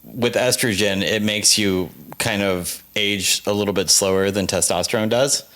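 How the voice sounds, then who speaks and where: Low-voiced man in his forties. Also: fairly even intonation, moderate pace, fairly bright tone. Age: 40s. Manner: chatty, filler-heavy with frequent "like". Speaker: panel guest. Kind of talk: casual panel discussion.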